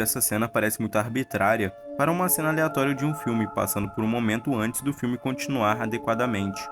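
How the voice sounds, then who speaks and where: A man with a Brazilian accent giving scripted video-essay narration and analysis. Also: mid-range voice. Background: background music.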